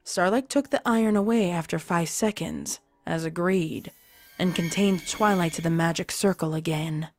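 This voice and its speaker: Woman storyteller, lowish voice, dramatic scripted narration, bit of music behind. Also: normal speed, a little mellow.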